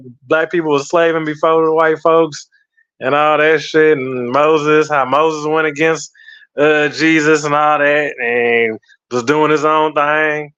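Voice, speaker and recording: A man's voice: fairly high pitch, level tone, a little slow, fairly bright tone, clean tone, no echo. A man telling a story in a rambling, slangy way, swearing and using lots of fillers. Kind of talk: casual storytelling. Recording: slightly muffled lo-fi audio.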